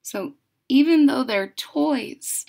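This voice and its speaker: Casting: female storyteller, 30s-40s, lively pitch swings, unhurried, emphatic delivery for young listeners. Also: creaky. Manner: deliberate, dramatic emphasis. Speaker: storyteller reading a picture book aloud. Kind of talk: expressive children's read-aloud with chatty asides. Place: room at home.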